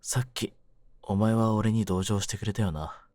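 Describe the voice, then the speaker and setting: A man playing a jaded, domineering yet secretly tender young mafia kidnapper in an audio drama, speaking low in a rough, cool, deliberate way. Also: steady tone, a little mellow, no echo, clean studio sound, soft background music, natural voice. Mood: tender, persuasive. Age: young adult. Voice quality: low-pitched. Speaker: voice actor in a situation voice drama. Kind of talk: Japanese situation-voice audio drama addressed to the listener.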